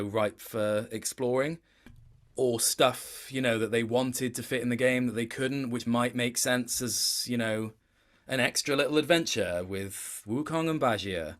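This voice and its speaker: A man with a medium-pitched voice talks casually as a guest in an interview. He thinks aloud, stopping and restarting as he shapes each point. The delivery is conversational and considered. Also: fairly bright tone. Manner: thoughtful, halting, with many self-corrections.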